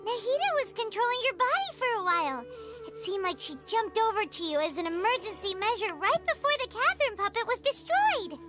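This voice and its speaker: A little fairy sidekick for a video game, with a childlike, squeaky voice pitched extremely high. Her delivery is chatty and exclamatory, swinging widely in tune, over clearly audible music. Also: big pitch swings, a little fast. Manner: exclamatory, refers to self in third person. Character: tiny childlike female fairy companion, excitable, loyal, chatty.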